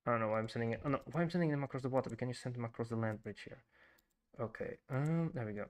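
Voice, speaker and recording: A man's voice: mid-range voice, very fast. Chatty Frisian-accented male game streamer. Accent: Frisian Dutch. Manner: chatty, thinks aloud, greets chat, many fillers and restarts. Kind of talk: casual livestream commentary while playing.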